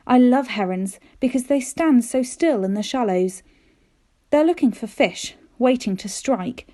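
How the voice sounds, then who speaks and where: A woman reading a children's storybook aloud in an expressive, careful storytelling manner, with dramatic emphasis. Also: a little high. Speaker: teacher reading aloud to pupils.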